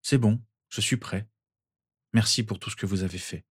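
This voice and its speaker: A man reading aloud and voicing an earnest, good-humoured young wizard, with a husky voice. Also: fairly low pitch, normal speed, no echo, clean studio sound. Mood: earnest, amused.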